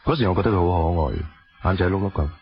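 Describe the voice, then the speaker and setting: An actor with a Hong Kong accent playing a weary, wounded estranged husband who drives a taxi, in a radio drama. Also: male voice, low voice, racing, close to an everyday voice. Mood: reflective, rueful. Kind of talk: radio drama acting, with dialogue and reflective narration. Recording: dull lo-fi radio drama audio.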